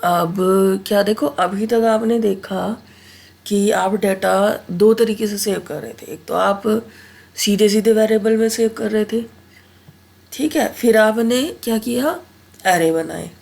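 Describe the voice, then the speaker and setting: A woman with a cold and a sore throat teaches a programming lesson, her voice gravelly with fry. She talks casually at a medium pitch. She keeps pausing to ask her students "okay?" with a rising lift. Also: a little mellow. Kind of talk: casual Hindi coding lesson.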